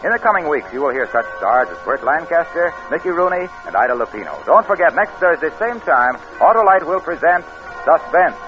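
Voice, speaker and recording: An American man announces in a vintage 1940s radio style, reading polished scripted copy. His fairly high voice moves in lively sweeps of pitch. The recording is muffled, with faint music. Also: fairly quick. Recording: dull old radio recording.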